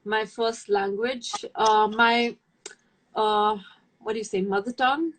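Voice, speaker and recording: A woman's voice: mid-range voice, steady tone, slow, rough recording. A young woman from India, a native Hindi speaker, chatting casually as a livestream guest and rambling with many fillers and restarts. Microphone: phone or video call.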